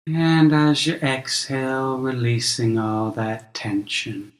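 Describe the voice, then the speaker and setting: A male meditation teacher guides a practice at a very slow pace. His delivery is gentle and measured, with long pauses between instructions. His mid-pitched voice sits in a clearly roomy, reverberant sound. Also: flat delivery.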